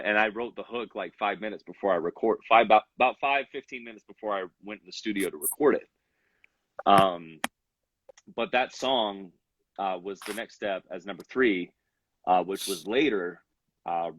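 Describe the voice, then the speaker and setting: Young guy, rapper, kinda low voice, lots of ums and restarts, dry muffled sound. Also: moderate pace, fairly bright tone, dry recording. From Salt Lake City. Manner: rambling, many fillers and restarts. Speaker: rapper, interview guest. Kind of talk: casual interview chat.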